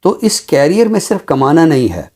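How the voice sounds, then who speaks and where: A Pakistani man speaking formally and deliberately as a literary commentator, with emphatic, repeated phrasing. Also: on the high side, sing-song, darkish tone. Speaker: literary commentator on a talk show. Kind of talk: measured, formal literary discussion and poetry recital.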